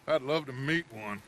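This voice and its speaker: An actor playing a smooth-talking outlaw gang boss in a video game, commanding, glib and grandiose. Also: male voice, medium pitch, sharp tone, slight background noise.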